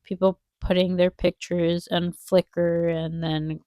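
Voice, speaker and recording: Young woman, low mellow voice, stuffed-up nose, rambling wistful vlog chat, dry close sound. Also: little pitch movement, slow pace, clear, resonant tone, dry recording. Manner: rambling, wistful, heavy on fillers. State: congested nose. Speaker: vlogger. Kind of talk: casual chat while drawing.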